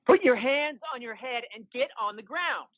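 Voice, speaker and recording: A woman playing an exasperated federal agent in an audio drama, barking forceful orders. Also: on the high side, expressive, normal speed, no room sound, dull audio. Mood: stern commanding. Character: Leams, exasperated, commanding federal agent under pressure.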